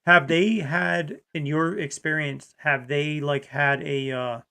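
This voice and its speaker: A man with a fairly high voice and a heavy creak hosts a casual live chat. He is from Rhode Island and talks at length in a loose, rambling way. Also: fairly even intonation, slow, pushed and bright. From Rhode Island, United States. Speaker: live show host.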